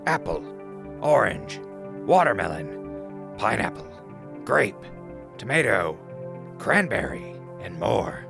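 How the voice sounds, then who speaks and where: A man's voice: lowish voice, big pitch swings, slow, creaky, pauses often, music in the background, full character voice. A man playing a wry wasteland radio host and wanderer in an audio drama, talking conversationally. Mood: announcer pitch. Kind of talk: audio drama log and mock sponsor reads.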